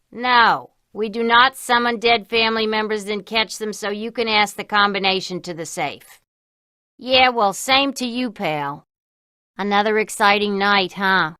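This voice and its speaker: An actress voicing a wisecracking, world-weary female office receptionist in a video game, with a deadpan, sarcastic delivery. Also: on the high side, no room sound, studio quality. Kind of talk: scripted video game dialogue.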